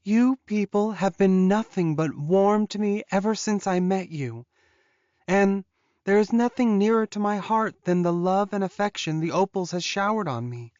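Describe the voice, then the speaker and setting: A man performs a young werewolf woman in a fantasy audiobook, pitched well above an ordinary narrating voice. She speaks with raw, pleading earnestness about a painful past. The sound is dry and rather dull. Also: moderate pace, a little mellow, clear, resonant tone, no room sound, lightly acted. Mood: earnest, grateful. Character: tearful young female werewolf confessing her painful past.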